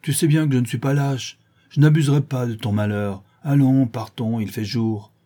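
A rough, honest storybook tough with a compassionate streak, acted by a male reader. He talks plainly and earnestly, somewhat high, with a soft mellow grain. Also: fairly even intonation, normal speed, close to an everyday voice. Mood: reassuring. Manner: plain-spoken, earnest, colloquial. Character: rough, savage-looking man, compassionate and honest.

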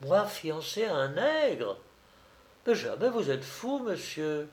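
A man's voice: high-pitched, expressive, measured pace, creaky, voice pushed up, heavily acted. A man voicing a prim young bride-to-be in a comic storybook tale, horrified and indignant, with dramatic wailing.

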